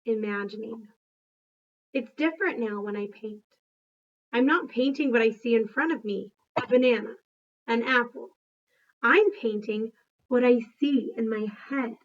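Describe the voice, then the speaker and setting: A woman voicing a thoughtful, gentle male gorilla who tells his storybook tale in a plain, measured way. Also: a little high, dull audio, natural voice. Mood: reflective.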